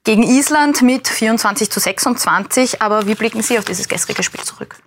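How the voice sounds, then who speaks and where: A woman's voice: fairly high pitch, fairly quick, slight background noise. Woman, professional TV news interviewer. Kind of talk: broadcast interview. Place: TV studio.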